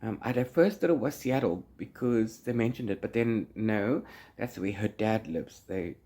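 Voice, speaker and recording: A man's voice: lowish voice, moderate pace, dark tone. A man chatting casually as a book vlogger, rambling along in a playful, theatrical way. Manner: rambling, trails off into blah blah and la-la fillers. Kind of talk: casual, chatty reading vlog.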